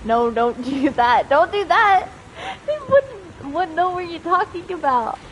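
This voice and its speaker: A woman livestreaming a video game, chatting and reading text aloud in a giggly, animated way. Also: extremely high pitch, unhurried, a little hiss or hum. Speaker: game streamer. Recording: game music behind the voice. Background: video game music.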